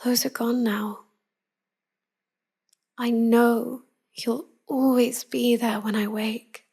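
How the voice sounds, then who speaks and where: Hushed, breathy vampire wife, played by a woman. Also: a little high, flat delivery, exaggerated voice. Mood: fond, haunted. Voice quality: soft, breathy, often whispering. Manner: slow, hushed, confiding. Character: tender, melancholy vampire wife devoted to her human lover. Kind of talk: intimate whispered pillow-talk roleplay audio.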